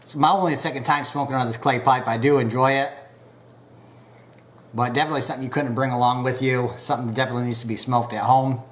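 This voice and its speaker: A man casually reviewing pipe tobacco, unhurried and full of filler pauses, a bit stuffed up from a recent cold. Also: mid-range voice, steady tone, a little hiss or hum.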